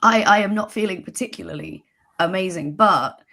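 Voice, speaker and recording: A woman with a husky voice, hoarse from flu, chatting casually and wryly as a guest. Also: medium pitch, moderate pace, pushed and bright, a little hiss or hum. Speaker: audio drama creator and guest. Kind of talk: casual chat.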